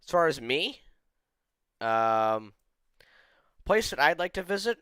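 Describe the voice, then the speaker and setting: Smooth-voiced young man, rambling chat. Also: on the high side, big pitch swings, slow, sharp tone, no echo, clean studio sound. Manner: rambling, opinionated, heavy use of "like". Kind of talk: casual chat.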